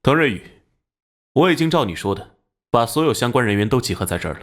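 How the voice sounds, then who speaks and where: A man playing a no-nonsense police captain in an animated crime drama, direct, official and questioning. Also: mid-range voice, fast, lightly acted. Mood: impatient, demanding. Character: no-nonsense male police captain, impatient and forceful.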